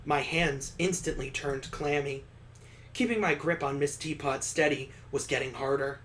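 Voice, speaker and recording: A man with a slightly high voice narrates a visual novel for a gaming playthrough. He moves between reading the prose out and tossing in wry, joking asides in a casual way. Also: moderate pace, a bit bright, slight background noise. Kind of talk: let's play read-aloud with ad-libbed commentary.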